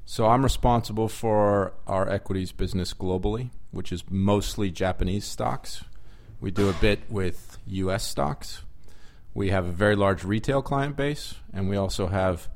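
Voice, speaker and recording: Man, somewhat low voice, measured, explanatory, interview guest. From United States. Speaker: interview guest, equities executive. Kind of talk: conversational interview.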